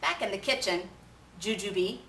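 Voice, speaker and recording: A woman reading a children's story aloud in an animated way, pausing to put questions to young listeners. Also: medium pitch. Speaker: storybook reader. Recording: roomy, distant mic with faint noise.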